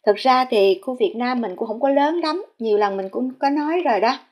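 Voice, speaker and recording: A woman's voice: a little high, expressive, brisk, darkish tone. A Vietnamese woman vlogging casually, speaking politely to viewers with many fillers as she tours a house listing and shows a map. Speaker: real estate vlogger. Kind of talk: casual narrated house tour.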